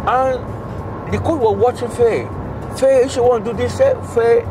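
A man's voice: very high-pitched, steady tone, normal speed, over music, low-quality recording. A middle-aged man with a Nigerian accent telling casual stories, repeating phrases for emphasis and acting out dialogue. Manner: repetitive, emphatic, acts out dialogue. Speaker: father telling stories about his daughter. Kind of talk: animated casual storytelling. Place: inside a moving car on a highway. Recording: loud car road noise.

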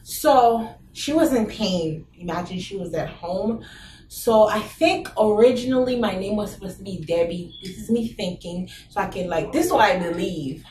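A young woman from Haiti with a Haitian accent, telling stories casually to camera in a small room, animated and laughing, full of rhetorical questions. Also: mid-range voice, moderate pace, a little hiss or hum. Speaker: vlogger. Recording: roomy echo, slightly distant mic.

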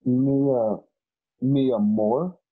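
A man's voice: medium pitch, steady tone, drawn-out words, narrow, tinny audio. A man playing an earnest, loyal and naive young companion in a western radio play, with hesitant, stammering delivery. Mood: puzzled. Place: home, on a group video call.

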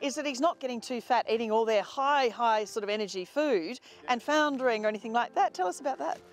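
Woman, presenter, enthusiastic, higher voice. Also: a little fast. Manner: enthusiastic, upbeat questions. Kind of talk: interviewing.

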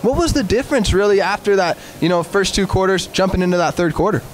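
A man's voice: high-pitched, fast talker, a little hiss or hum. A young man interviewing a coach after a game in an eager, upbeat way with frequent fillers. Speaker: sports interviewer. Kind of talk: postgame interview.

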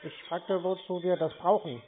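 A person of unclear sex in a prank phone conversation, answering tersely and pedantically with a deadpan delivery. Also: mid-range voice, moderate pace. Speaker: scam-baiter answering a scam call. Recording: noisy narrowband phone audio, clicks.

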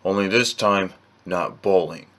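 Young male vlogger, lowish voice, unhurried delivery, close webcam in a small bedroom. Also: a bit bright. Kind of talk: casual vlog to camera.

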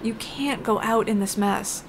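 Worried young wife voiced by a woman, earnest, apologetic, hesitant, fairly high voice, ambient noise behind, comic drama. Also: unhurried. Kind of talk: earnest comic dub acting.

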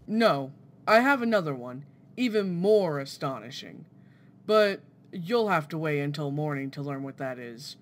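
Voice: mid-range voice, lively intonation, unhurried, close to an everyday voice. An actor playing a proud, genial inventor professor in a formal, theatrical 1940s radio style. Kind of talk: 1940s radio drama acting.

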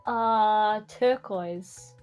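A woman's voice: medium pitch, drawn-out words, darkish tone, clean tone, soft background music. A woman playing a word game, chatting casually and teasing her friends playfully. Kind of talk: casual chat.

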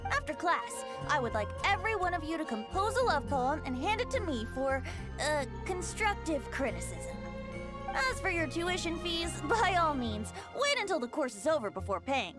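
A woman's voice: sits high, big pitch swings, moderate pace. A woman voicing a playful, theatrical youthful boy bard with a mock-formal manner in a video game. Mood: cheerful, grandiose. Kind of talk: video game character acting. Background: music.

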